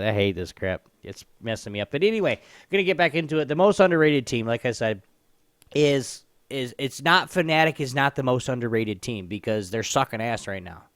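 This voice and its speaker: A man's voice: mid-range voice, lively intonation, normal speed, fairly bright tone, clear, resonant tone, no echo. A man co-hosting a gaming stream, chatting casually about esports in an informal way, swearing and repeating himself.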